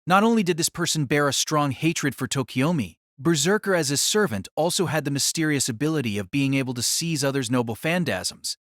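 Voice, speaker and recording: A man's voice: fairly high pitch, a bit bright, no room sound, clean studio sound. A man narrating a novel as an audio drama in a dramatic scripted style.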